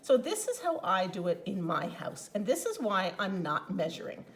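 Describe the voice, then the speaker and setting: A woman's voice: medium pitch, very animated, moderate pace, a bit bright. A woman with a Newfoundland accent, chatting upbeat through a home cooking demonstration in her kitchen. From Newfoundland, Canada. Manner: chatty, upbeat, enthusiastic about flavor. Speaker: cooking product consultant.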